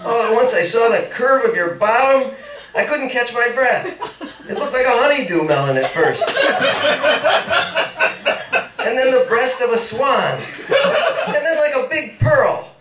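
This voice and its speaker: A man's voice: on the high side, very animated, normal speed, a crowd in the background. A man voicing a besotted young suitor, reading a story aloud in a teasing, dreamy manner. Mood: rapturous. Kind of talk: read-aloud story dialogue. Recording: echoey room, mic at a distance.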